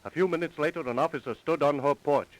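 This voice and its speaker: A man's voice: a little high, fast. A man narrating a 1930s radio crime drama in a formal, scripted style. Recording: noisy vintage 1930s radio recording.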